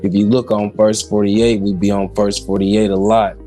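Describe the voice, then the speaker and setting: Low-voiced American man over music. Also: little pitch movement, normal speed, dark tone. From Kansas City, Missouri, United States. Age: 40s. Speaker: podcast guest giving testimony. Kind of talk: personal testimony in interview.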